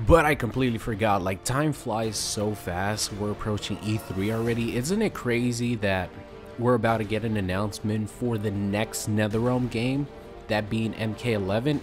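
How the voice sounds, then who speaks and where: A young man with a fairly low voice talks loosely to his audience, full of fillers and the odd swear word. His throat is sore, so the voice carries a light rasp. Faint music sits under the talk. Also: moderate pace. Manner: casual, rambling, frequent fillers and swearing. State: sore throat, slightly raspy. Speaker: gaming YouTuber. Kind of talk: casual chat to camera.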